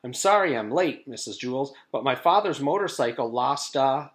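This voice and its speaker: Anxious young schoolgirl for a children's storybook, performed by a man; high-pitched, earnest, easily flustered. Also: lively intonation, normal speed, fairly bright tone, natural voice. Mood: worried. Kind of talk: children's storybook read-aloud.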